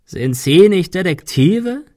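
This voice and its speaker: Guy doing a croaky old bad guy in an audiobook, mocking, condescending, hoarse, super dry sound. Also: sits high, sing-song, slow, darkish tone, no room sound, voice pushed up, heavily acted. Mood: scornful. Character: hoarse, scornful, vengeful old villain with a rifle. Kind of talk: audiobook character acting.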